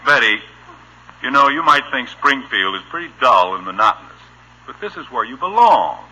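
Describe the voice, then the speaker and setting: A man's voice: mid-range voice, very animated, normal speed, slight background noise, rough recording. An actor playing an exasperated but tender middle-aged American father in a 1950s radio sitcom. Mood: gently earnest. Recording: bright, rough old radio recording.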